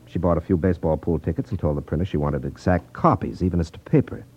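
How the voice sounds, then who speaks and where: An actor playing a shrewd, unflappable detective, wry and self-assured, in a 1950s radio drama. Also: male voice, low voice, quick pace, a little mellow, breathy edge. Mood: confident explaining. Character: shrewd, unflappable male detective, coolly needling a crook. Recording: muffled vintage 1950s radio recording.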